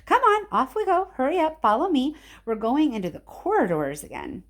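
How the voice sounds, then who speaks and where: A woman voicing an eccentric, brisk male candy-factory owner in a storybook, emphatic, dismissive and always hurrying people along. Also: high voice, wildly swooping pitch, voice pushed up. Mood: exclaiming, impatient.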